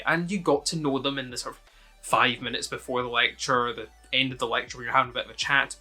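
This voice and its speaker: Young Scottish man, slightly high voice, friendly advice vlog. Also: bright, forceful tone. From Scotland. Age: young adult. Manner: friendly, explanatory, answers questions one by one. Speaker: student video host. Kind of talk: casual advice talk to camera.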